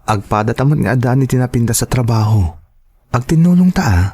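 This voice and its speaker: A man's voice: mid-range voice, animated, fairly quick, dark tone, slightly rough. A man playing an earnest, devoted young boyfriend in an audio drama, with a Filipino accent. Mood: earnest, pleading.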